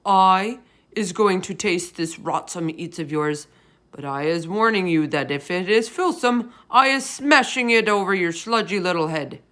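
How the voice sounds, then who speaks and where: Woman voicing a loud, roaring, bullying storybook giant. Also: on the low side, expressive, measured pace. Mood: threatening. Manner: loud, roaring, insulting, ungrammatical speech. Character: huge greedy bullying man-eating male giant, coarse and brutish. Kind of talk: storybook read-aloud character voice.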